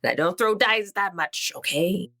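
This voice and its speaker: A woman's voice: medium pitch, animated, a little slow, sharp tone. A woman playing a tabletop game, chatting casually in a playful, hesitant manner.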